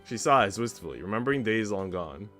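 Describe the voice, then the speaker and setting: Man, low voice, game streamer, slangy, casual read-aloud, music behind. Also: lively intonation, fairly quick, fairly bright tone. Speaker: streamer reading a visual novel aloud.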